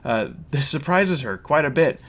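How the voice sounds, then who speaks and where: A man's voice: fairly high pitch, lively intonation, normal speed. A man giving a casual, opinionated review and story recap, speaking in a blunt, critical way.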